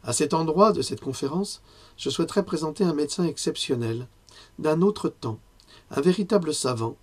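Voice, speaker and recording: Hoarse man giving a talk. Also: a little high, expressive, normal speed, darkish tone. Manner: conversational, with frequent fillers and self-corrections. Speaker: lecturer. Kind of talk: health lecture with personal asides.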